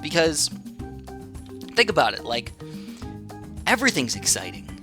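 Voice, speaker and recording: A man's voice: on the high side, wildly swooping pitch, measured pace. A man giving casual, rambling gameplay commentary, chatty and full of fillers and restarts. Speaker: gaming channel host. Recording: game music playing under the voice.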